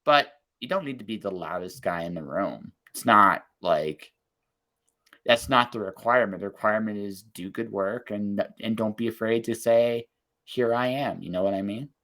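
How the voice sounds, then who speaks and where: Man, podcast host, low voice, upbeat, encouraging, quick bursts of talk. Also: bright, forceful tone. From Canada. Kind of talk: casual interview chat.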